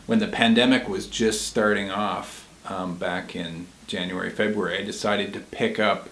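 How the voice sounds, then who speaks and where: A man with a slightly low voice shares book recommendations as a co-host. He talks casually and conversationally, and the recording is noticeably roomy and echoing. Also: steady tone, normal speed, fairly bright tone, slight background noise.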